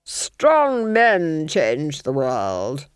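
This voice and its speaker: A woman voicing an imperious, cruel Duchess in a storybook reading, with a shrill, haughty, biting delivery. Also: wildly swooping pitch, drawn-out words, clear, resonant tone, dry recording, studio quality, full character voice. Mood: scornful. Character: imperious, cruel, menacing fat Duchess, domineering villain.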